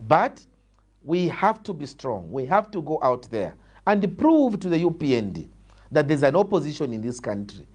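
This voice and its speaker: Zambian man, animated and emphatic, higher-pitched. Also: moderate pace, slightly rough. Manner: emphatic, repeats phrases for stress. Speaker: opposition party politician, guest. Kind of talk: political interview answers, argumentative.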